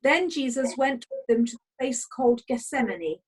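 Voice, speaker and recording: A woman's voice: sits high, lively intonation, normal speed, breathy edge, low-quality recording. A woman narrating a scripted dramatic scripture reading over a video call.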